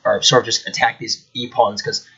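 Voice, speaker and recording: Guy with a cold, kinda nasal, rambly chess chat from home, bright voice, bit of dull lo-fi sound. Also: fairly low pitch, little pitch movement, normal speed, gravelly, breathy edge. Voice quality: slightly nasal. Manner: rambling with many fillers. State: congested from a cold. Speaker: chess channel host. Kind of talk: casual chess commentary. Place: at home indoors.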